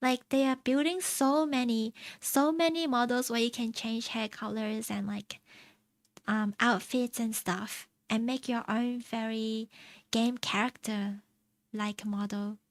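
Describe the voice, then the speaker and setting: Slow-talking young woman streamer. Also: fairly high pitch, steady tone, dry recording. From China. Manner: soft, chatty, rambling, laughs often. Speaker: VTuber streamer. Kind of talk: casual stream chat, reading and answering chat. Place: home streaming setup.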